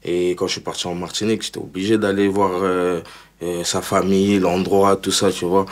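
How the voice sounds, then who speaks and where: A low-pitched young man from Congo chatting in a relaxed interview. He talks loosely, repeats himself and breaks into laughter. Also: brisk. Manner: laid-back, repeats words, laughs often. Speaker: rapper guest. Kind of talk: casual interview chat.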